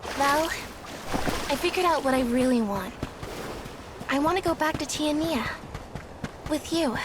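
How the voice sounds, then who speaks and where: An actress playing a gentle, devoted young village woman in a fantasy game, soft and dreamy with lingering pauses. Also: sits high, noisy background. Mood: wistful, tender. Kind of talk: fantasy video game voice acting.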